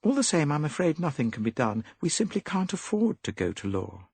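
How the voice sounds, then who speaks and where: A man's voice: on the high side, very animated, fairly quick, gravelly, darkish tone, breathy edge, no room sound, pitched above the performer's own voice, exaggerated voice. A man voicing an anxious, indignant young woman in an audiobook. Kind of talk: audiobook character reading.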